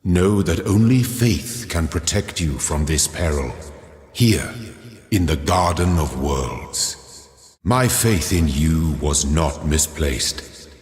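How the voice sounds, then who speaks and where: Solemn creator deity, game narration: low, unhurried, grandiose and paternal, drenched in long cathedral-style reverb. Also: male voice, expressive, darkish tone, exaggerated voice. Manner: grandiose, measured, formal, preacher-like. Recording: cavernous reverb with long echoing tail.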